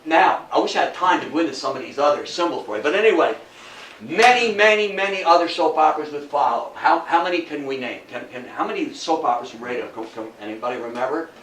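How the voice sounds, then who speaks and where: An older man gives an informal talk to a group in an echoey room, picked up at some distance from the mic. He tells it like an animated storyteller, repeating phrases for emphasis. His voice sits a little high for a man, with some noise behind it. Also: fast. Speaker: lecturer at a historical society talk. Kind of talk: informal lecture to an audience. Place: indoor talk room with audience.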